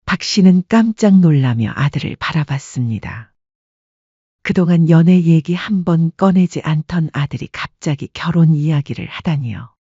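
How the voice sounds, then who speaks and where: Male narrator, measured storytelling, husky and mellow, dry dull sound. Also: a little high, animated, no echo.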